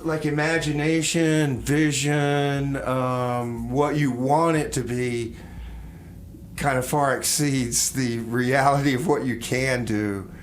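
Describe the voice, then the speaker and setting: A man hosting a casual live radio interview, speaking haltingly and repeating words as he goes. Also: mid-range voice, fairly even intonation, slight background noise. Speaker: radio show host. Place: radio studio.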